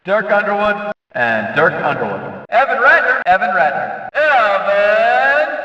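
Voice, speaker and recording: An actor playing an excitable, booming race announcer in a video game, calling out names in a drawn-out, theatrical way. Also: male voice, heavily acted. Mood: hyped announcing. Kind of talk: shouted race-announcer name calls. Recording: echoey, distant, slightly dull PA-like sound.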